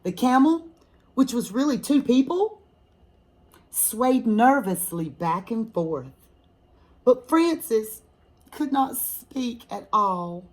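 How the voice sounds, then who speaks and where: A woman with a fairly high voice reads a children's story aloud as a teacher would. She takes it very slowly and deliberately. She often turns to her young listeners with questions and asides. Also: sing-song. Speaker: fourth grade teacher reading aloud to children. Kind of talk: expressive children's picture-book read-aloud with asides to listeners.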